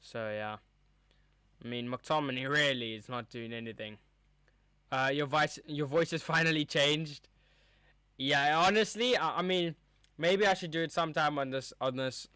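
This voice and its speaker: A man's voice: medium pitch, bright, forceful tone, clean tone, dry recording. A young man hosting a live match watchalong, chatting casually and calling the play as it happens into a streaming mic. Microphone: streaming mic with a cover on top.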